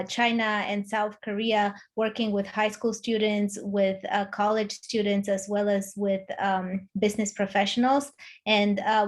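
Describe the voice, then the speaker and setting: A woman in her thirties from Romania, speaking with a Romanian accent as a guest in an online interview, talking with many fillers and restarts. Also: medium pitch. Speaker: guest, executive coach.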